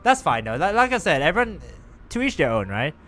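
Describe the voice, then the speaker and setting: A young man live-streaming a game, chatting with viewers in an animated, rambling way. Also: on the high side, a little fast, soft background music. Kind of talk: casual live-stream chat while gaming.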